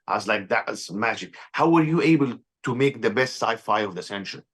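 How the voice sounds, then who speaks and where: A man's voice: fairly low pitch, lively intonation, a little fast, a bit bright, slightly rough. Effusive man from Egypt, interviewer. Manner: effusive, loose phrasing. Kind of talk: enthusiastic interview questions.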